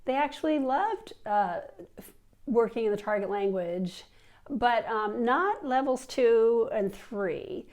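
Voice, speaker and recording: Woman in her 50s, teacher with a cold, chatty and fairly high, lapel mic. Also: expressive, takes it slowly, darkish tone. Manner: chatty, with fillers and restarts. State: has a cold. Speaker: language teacher. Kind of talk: online teacher workshop. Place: indoors, seated in an office chair before a plain wall.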